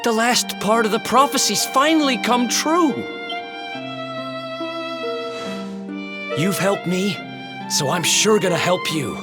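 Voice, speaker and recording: Earnest, animated young adventurer. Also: male voice, high voice, moderate pace. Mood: relieved, elated. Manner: earnest, informal, emotional. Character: earnest young male adventurer, devoted older brother. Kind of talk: fantasy video game voice acting. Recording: music behind the voice.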